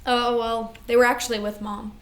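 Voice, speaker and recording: Woman acting responsible grieving elder sister, composed, fairly high voice, stage drama reading. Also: level tone, moderate pace, fairly bright tone, close to an everyday voice. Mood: conciliatory.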